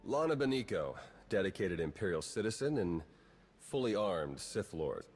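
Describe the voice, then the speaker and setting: A man's voice: lowish voice, very animated, normal speed, a little mellow, slightly rough, in a large hall. A man playing a wry, driven young spy agent in a video game, with a dry, sardonic manner. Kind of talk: video game cutscene acting.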